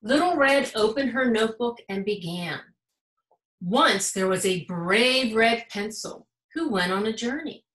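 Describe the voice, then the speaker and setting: An American woman, a school principal, reading a children's story aloud in an animated, emphatic way. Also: a little high, measured pace. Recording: roomy, echoing sound.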